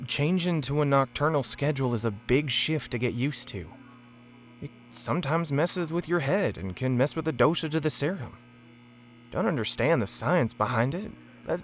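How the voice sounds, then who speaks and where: An actor playing an earnest, loyal working stallion and parent in an audio drama, reasoning patiently. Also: male voice, mid-range voice, fairly bright tone, lightly acted.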